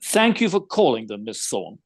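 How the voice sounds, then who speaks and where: Man acting eloquent, animated gentleman detective. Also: mid-range voice, normal speed, slightly rough. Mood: courteous, composed. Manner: formal, precise, theatrical phrasing. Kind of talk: audio theater mystery acting.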